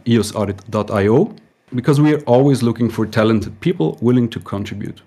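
A man with a somewhat low voice, speaking softly as a guest on a casual chat show. His phrasing has a slightly non-native feel. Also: a little fast, breathy edge.

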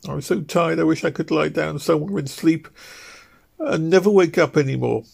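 A man pitches his voice well up to play a worn-out young wife in an old storybook reading, tearful and pleading. Her voice sits high and strained, and the words come out in plaintive sobs. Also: normal speed, darkish tone, lightly acted. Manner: sobbing, plaintive delivery. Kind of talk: audiobook character reading.

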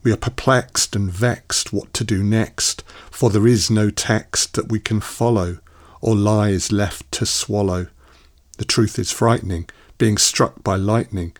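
A man reading rhyming poetry aloud, measured and emphatic on the rhymes. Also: lowish voice, dry recording, studio quality.

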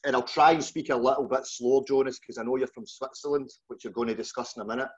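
A man's voice: mid-range voice, steady tone, fast, slightly rough. Glaswegian man hosting, slightly lo-fi. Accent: Glaswegian Scottish. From Scotland, just outside Glasgow. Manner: informal, matey, colloquial. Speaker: podcast host. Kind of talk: casual interview chat. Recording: dull, lo-fi call audio.

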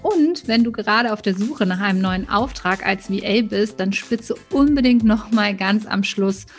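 A woman's voice: mid-range voice, moderate pace. Female podcast host, mid-range voice, faint music underneath. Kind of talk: scripted podcast intro and interview.

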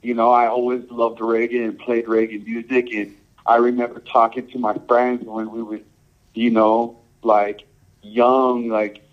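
A man from Samoa and Hawaii, a reggae musician, answering interview questions in a laid-back, halting way, full of "um" and "you know". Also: mid-range voice, little pitch movement, lo-fi sound.

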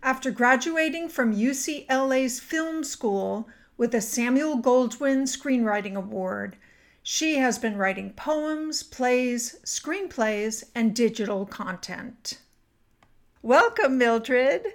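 A woman with a slightly high voice speaks very slowly as a podcast host. She presents and questions in an orderly interview style. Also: expressive. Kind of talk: scripted introduction and interview questions.

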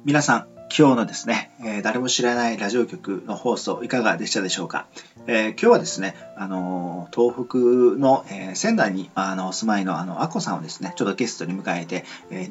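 A man's voice: fairly low pitch, fairly quick. A man hosting a casual radio talk show in a polite, rambling, hesitant way. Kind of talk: casual interview and sign-off chat. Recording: muffled lo-fi with faint music.

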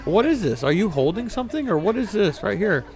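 A man's voice: high-pitched, big pitch swings, a little fast. A man hosting a casual podcast chat, laughing and swearing freely at a family gathering. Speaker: podcast host. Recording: noisy room with crowd chatter.

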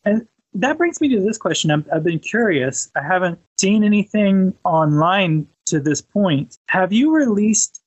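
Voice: medium pitch, big pitch swings, a little slow, muffled recording. A podcast host talking conversationally in an interview, reflective and supportive.

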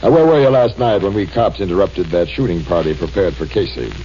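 An actor playing a blunt, commanding police captain in a 1940s radio drama. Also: male voice, low-pitched, lively intonation, brisk, mellow. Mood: stern. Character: gruff middle-aged police captain. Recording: dull old radio broadcast with faint hiss.

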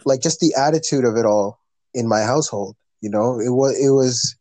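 Casting: guy, mid-pitched, earnest and rambling, podcast guest, dry recording. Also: moderate pace, darkish tone, no room sound. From Canada. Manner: earnest, rambling, frequent fillers and restarts. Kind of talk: casual conversation.

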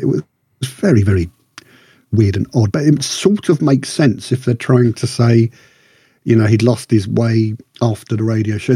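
A man with a mellow, slightly rough-edged husky voice talks casually as a podcast co-host. He rambles and restarts, circling round his point. The sound is dry and close. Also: mid-range voice, dry recording. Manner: rambling, halting, circles back. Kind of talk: casual discussion of TV shows.

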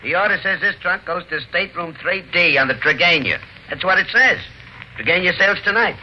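An actor playing a blunt working-class baggage porter in an old radio drama, gruff, slangy and matter-of-fact. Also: male voice, high-pitched, animated, fairly quick. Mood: gruff insisting. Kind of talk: old-time radio character acting. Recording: noisy, muffled old radio recording.

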